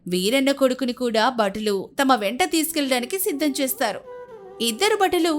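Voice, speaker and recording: Woman, high voice, scripted folk-tale storytelling, narrator, light music underneath. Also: lively intonation, fairly quick.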